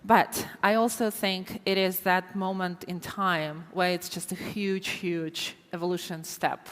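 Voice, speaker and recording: A woman's voice: on the low side, steady tone, a little slow, a bit bright. Ukrainian-accented woman on a conference panel. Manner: repeats words when starting, earnest and thoughtful. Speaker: panel speaker. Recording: reverberant conference hall.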